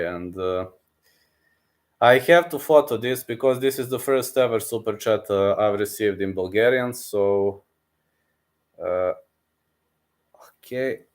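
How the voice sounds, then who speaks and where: A man's voice: fairly low pitch, a little slow, long pauses. An eager male live-stream host speaking English with a Balkan accent. He talks casually and repeats his phrases, thanking his viewers and calling them man and bro. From Serbia. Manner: enthusiastic, repetitive, keeps calling listeners man and bro.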